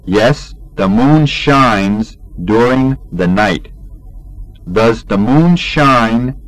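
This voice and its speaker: Very slow-paced man reading lessons. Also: medium pitch, animated, dry recording, poor audio. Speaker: English teacher reading a textbook aloud.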